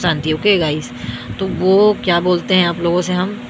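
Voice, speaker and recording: A young woman from India with a hoarse, worn-out voice rants to her viewers, repeating herself and scolding as she boasts. Her delivery stays flat, with little rise or fall. Traffic noise is clearly heard behind her. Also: fairly low pitch, normal speed. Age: young adult. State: tired. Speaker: vlogger. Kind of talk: self-filmed rant to viewers.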